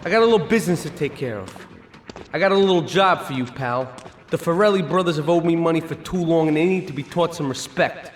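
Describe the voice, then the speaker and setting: An actor playing a cocky young mobster and mechanic, smug and casual. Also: male voice, fairly high pitch, a little fast, roomy sound, long reverb tail, slight background noise, natural voice.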